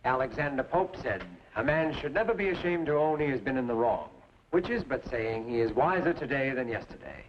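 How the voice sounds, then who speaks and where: A man's voice: medium pitch, big pitch swings, a little fast, close to an everyday voice. An actor playing a genteel, bookish father in a radio western, middle-aged, speaking in a florid, fond and weary way. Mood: dignified. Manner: florid, quotes scripture and poets. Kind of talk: old-time radio western acting. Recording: muffled, noisy old radio recording.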